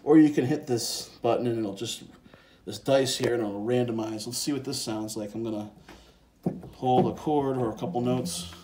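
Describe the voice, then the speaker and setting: A man with a mellow, mid-pitched voice walks through a piece of music gear step by step. His delivery is informal and laid-back, as if thinking aloud. The recording is a handheld phone video with faint background noise. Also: moderate pace. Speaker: presenter of a music gear tutorial. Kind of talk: casual hands-on software and synth demonstration. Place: indoors beside a synthesizer keyboard. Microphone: handheld phone and separate handheld microphone.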